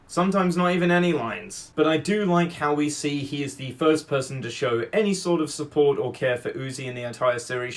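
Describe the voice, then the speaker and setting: A man giving opinionated fan commentary in an emphatic, assertive manner. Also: mid-range voice.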